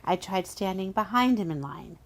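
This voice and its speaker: A woman's voice: mid-range voice, animated, moderate pace, lightly acted. A woman playing a vain, sulky seductive spirit in a radio horror drama, lamenting with a pout. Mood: frustrated.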